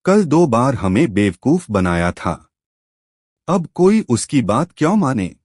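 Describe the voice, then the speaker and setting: Husky, stern villager. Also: male voice, medium pitch, sing-song, slow, darkish tone, no echo, studio quality. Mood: annoyed. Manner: scolding, stern. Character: angry adult male villager. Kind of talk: cartoon voice acting.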